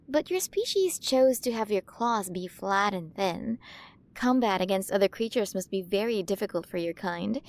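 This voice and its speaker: A woman's voice: medium pitch, a little mellow, lightly acted. A woman playing a towering alien queen in an ASMR roleplay, cooing to the listener with doting, possessive curiosity about tiny humans. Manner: cooing, fascinated, quaintly mispronouncing human words.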